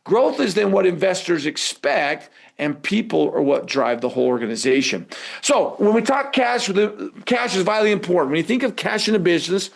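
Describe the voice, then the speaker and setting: A man's voice: high-pitched, very animated, normal speed, gravelly. A man teaching a live webinar in a conversational way, prompting listeners with rhetorical questions. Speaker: webinar instructor.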